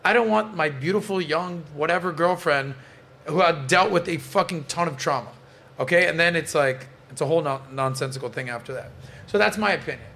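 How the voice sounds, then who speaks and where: A man's voice: a little high, a little fast, vocal fry, pushed and bright. A man hosting a casual panel podcast, giving blunt opinions and swearing freely. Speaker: podcast host.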